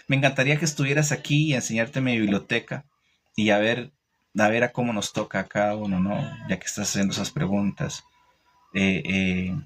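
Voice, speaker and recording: A man with a Costa Rican Spanish accent giving an informal, didactic religious talk, opinionated, pausing and repeating phrases as he goes. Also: on the low side, a bit bright. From Costa Rica. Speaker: religious teacher hosting a livestream. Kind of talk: informal religious talk answering live comments.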